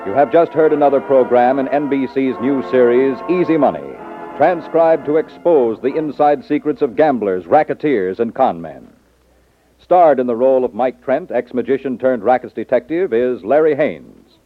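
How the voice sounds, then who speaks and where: A tinny, dry old radio recording with faint music behind a male announcer. He speaks at a medium pitch in formal fifties broadcast style. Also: normal speed.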